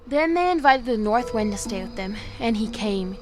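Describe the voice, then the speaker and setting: An actress playing a gentle young woman who reads a fairy tale aloud in an audio drama, measured and earnest. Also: a little high, expressive, close to an everyday voice. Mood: earnest storytelling. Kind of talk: storybook narration in an audio drama. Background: music.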